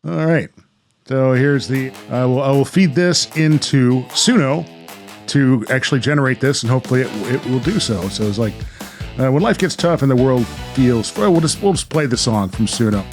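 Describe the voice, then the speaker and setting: A man's voice: medium pitch, animated, moderate pace, faint music behind. A man hosting a podcast, chatting casually in a jokey, self-aware and rambling way. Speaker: podcast host.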